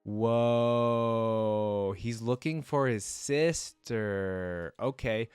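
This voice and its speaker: A man's voice: medium pitch, very slow, clean tone, dry recording, studio quality, soft background music. A man hosting a reaction video, commenting casually and musingly with lots of conversational filler words. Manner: musing, casual, often says "like" and "you know". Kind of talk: casual commentary, reading a story aloud.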